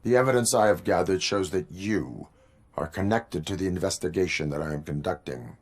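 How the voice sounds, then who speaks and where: A man voicing a stoic cyborg police officer in a video game, speaking in stiff, formal, deliberate phrases. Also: deep voice. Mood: probing, formal. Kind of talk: video game cutscene acting.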